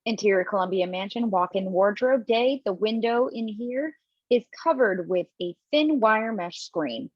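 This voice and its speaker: A woman narrating stage directions at a table read from home, lively and expressive, with small slips. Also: mid-range voice, a little slow, no room sound. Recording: dull lo-fi, dry.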